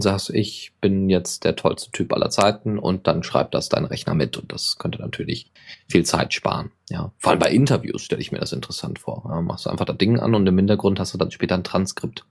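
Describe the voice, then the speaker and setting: Male podcast host, very low voice, casual news chat, hesitant and rambling. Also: a little mellow. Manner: rambling, many fillers and self-corrections.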